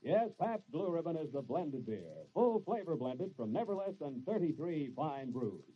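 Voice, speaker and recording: A man's voice: fairly high pitch, very animated, moderate pace. A man announcing and reading scripted ads in 1940s radio style. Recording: muffled noisy old radio recording.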